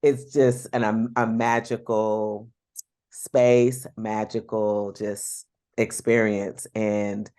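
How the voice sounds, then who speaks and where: A woman's voice: rumbling low, flat delivery, drawn-out words, mellow. A woman hosting a podcast, introducing her guest in an enthusiastic, casual way. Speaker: podcast host. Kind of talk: casual interview chat.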